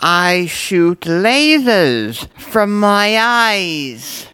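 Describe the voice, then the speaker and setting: Casting: brash, vain superhero for audio comedy, unhurried and theatrical, dry close sound. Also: female voice, mid-range voice, very animated, fairly bright tone, smooth, no room sound, full character voice. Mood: boastful, indignant. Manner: pompous, boastful. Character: vain, brash, self-important superhero with laser eyes. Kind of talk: comedic audio drama acting.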